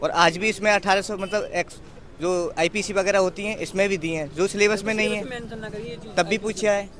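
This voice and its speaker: A man's voice: sits high, fairly even intonation, normal speed, fairly bright tone. A young man giving blunt opinions as an exam candidate in a casual street interview. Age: young adult. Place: outdoors on a busy street. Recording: outdoor crowd and traffic noise.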